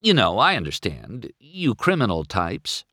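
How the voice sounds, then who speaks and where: A man's voice: lowish voice, wildly swooping pitch, unhurried, sharp tone, dry recording, clean studio sound, full character voice. A man voicing a blunt, nonchalant young female car with a teasing temper in a children's storybook.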